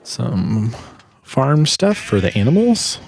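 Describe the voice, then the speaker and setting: A man's voice: medium pitch, sing-song, takes it slowly, darkish tone, a little hiss or hum. A man giving a casual, explanatory walkthrough as a sound designer presenting his work.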